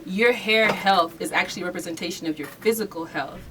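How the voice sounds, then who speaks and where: A woman's voice: lowish voice, brisk, pushed and bright. A woman speaking as an interview guest, explaining things in an earnest, emphatic way. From Nigerian background. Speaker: interview guest, hair care company founder. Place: radio studio. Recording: noisy room, distant mic.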